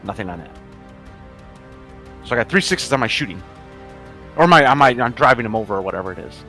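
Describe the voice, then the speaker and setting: A male tabletop gamer talks in a casual, slangy way and swears freely. His voice sits at an ordinary middle pitch, with faint music underneath. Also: sing-song, brisk, bright, forceful tone. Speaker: player in a tabletop roleplaying game. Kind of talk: casual chat.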